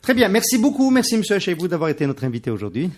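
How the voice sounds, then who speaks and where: Male radio host, fast-paced, steers the talk. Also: high-pitched, big pitch swings. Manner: brisk, leading, finishes the guest's sentences. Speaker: radio interviewer. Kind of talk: radio interview.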